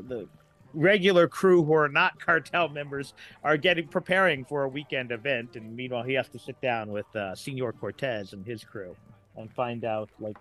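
A middle-aged man in casual podcast chat as a guest, rambling haltingly and repeating words as he explains. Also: medium pitch, a bit bright.

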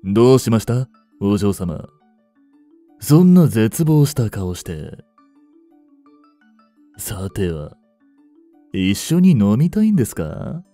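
Man acting a low, silky, treacherous butler. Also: very animated, no echo, soft background music, heavily acted. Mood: softly menacing. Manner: polite, softly menacing, unhurried. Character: suave, greedy young male butler turned possessive betrayer. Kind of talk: Japanese romance audio drama acting.

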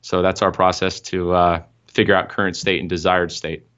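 A young man speaks from an office over a video call, his voice very low and the audio a bit dull and lo-fi. He explains things in a salesy, step-by-step way, sprinkled with uhs and ums. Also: level tone, normal speed, fairly bright tone, smooth. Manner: explanatory, pitch-like with fillers. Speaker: marketing consultant guest. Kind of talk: interview answers. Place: office room.

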